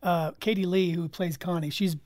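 A woman's voice: on the low side, fairly even intonation, moderate pace, darkish tone, no echo, studio quality. A woman talking as a guest in a casual panel discussion, explaining things conversationally. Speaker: guest from an audio drama team.

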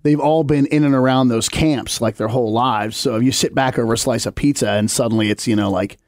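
A man on a podcast as a guest musician, talking casually about his recording sessions in a relaxed, rambling way full of fillers. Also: mid-range voice, a little fast, no echo, clean studio sound. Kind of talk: casual chat.

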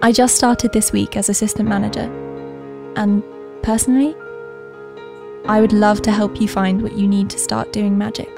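An actress playing an earnest young shop assistant in an audio drama, confiding her story in a hushed, reflective way. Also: medium pitch, creaky, lightly acted. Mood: warm, welcoming. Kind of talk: intimate first-person audio drama monologue.

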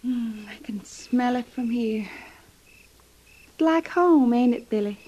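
An American actress playing a gentle, loving pregnant young frontier wife in an old-time radio drama, with soft, folksy rural speech. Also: female voice, fairly high pitch, slow, slightly rough. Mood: contented, soft. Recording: dull vintage radio recording.

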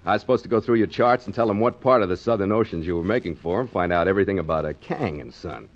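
A man's voice: deep voice, quick pace. An actor playing a sly, cocky sailor schemer in 1940s radio drama, with slangy, needling tough-guy talk on a muffled old recording. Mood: scheming, persuasive. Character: sly, cocky waterfront schemer, a sailor angling to join a ship.

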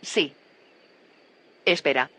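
A woman's voice: extremely slow, fairly bright tone. An actress playing a curious female scientist in an audio drama. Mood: baffled.

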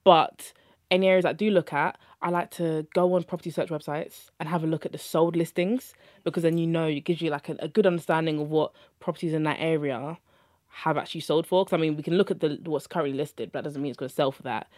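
A woman's voice: deep voice, steady tone, quick pace, darkish tone, no room sound, clean studio sound. A young woman hosting a podcast, introducing her guest and asking questions in a friendly, conversational way. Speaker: podcast host. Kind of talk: podcast interviewing.

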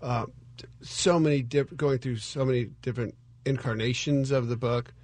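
A man talking as a guest in a casual interview, earnest and halting, about his partner's work. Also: mid-range voice, steady tone, darkish tone, dry recording.

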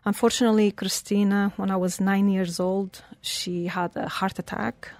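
A woman's voice: mid-range voice, flat delivery, normal speed, darkish tone. A woman, telling her life story earnestly as an interview guest. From Portugal. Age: 40s. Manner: earnest, storytelling, slightly broken English phrasing.

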